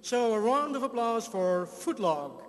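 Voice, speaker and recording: A man from the Netherlands presenting an award on stage, steady with a few fillers. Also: extremely high pitch, a little slow. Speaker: jury member presenting an award. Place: large hall. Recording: hall reverb from a stage mic.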